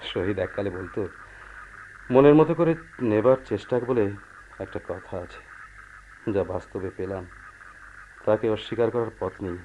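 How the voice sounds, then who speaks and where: Radio play husband, male actor, earnest and soothing, warm dark tone, muffled old recording. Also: lowish voice, lightly acted. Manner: earnest, placating, measured. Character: calm, pragmatic husband placating his unhappy wife. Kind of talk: Bengali radio play acting. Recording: dull, noisy old radio drama recording.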